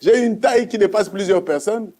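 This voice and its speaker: A man with a Congolese accent shares a religious testimony in a soft, mellow tone. His voice sits a little high for a man. He tells it as a story, emphatically, restating points for effect. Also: a little fast. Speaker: guest giving testimony.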